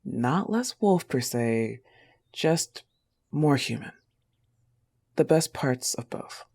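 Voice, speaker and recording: Girl voicing a clingy sweet wolf girlfriend audio roleplay, tender n teasing, slow with lots of fry, soft breathy bits. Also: rumbling low, expressive, exaggerated voice. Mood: tender, earnest. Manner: tender and teasing, often dropping to a breathy whisper.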